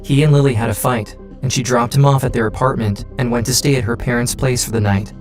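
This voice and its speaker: A man's voice: medium pitch, steady tone, fast. A man reading scripted true-crime documentary narration. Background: faint music.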